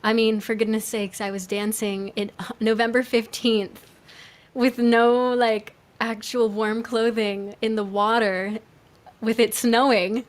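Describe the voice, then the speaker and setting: A woman with a fairly high voice talks as a musician on a livestream. Her delivery is casual and conversational, like an informal chat with viewers. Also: little pitch movement, slow.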